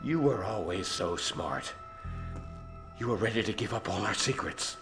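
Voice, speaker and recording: An actor playing a knowing, menacing insider who speaks in a slow, taunting, theatrical way in a video game cutscene. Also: male voice, lowish voice, animated. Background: loud dramatic music.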